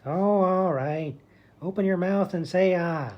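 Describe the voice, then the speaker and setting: Woman playing a low, crabby old man. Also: measured pace, lightly acted. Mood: grumpy, dismissive. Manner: grumbling, dismissive, blunt. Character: crabby, self-pitying old male hospital grump. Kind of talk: comedy radio sketch acting. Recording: dull, muffled audio.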